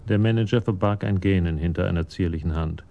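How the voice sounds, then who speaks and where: A man's voice: low voice, fast talker, vocal fry, muffled recording, slight background noise. A man narrating a German radio crime drama in scripted prose.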